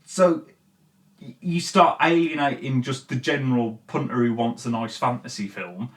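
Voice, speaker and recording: A man's voice: medium pitch, normal speed. A man hosting a commentary show, talking casually and bluntly in an exasperated, sweary way. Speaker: host of a film commentary show.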